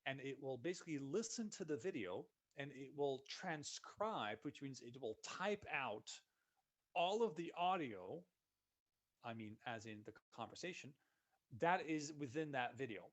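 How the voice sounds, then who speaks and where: A man, a solutions consultant giving a relaxed, conversational walkthrough of software in a live webinar. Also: medium pitch, unhurried. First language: Dutch. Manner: relaxed, conversational walkthrough narrating each click.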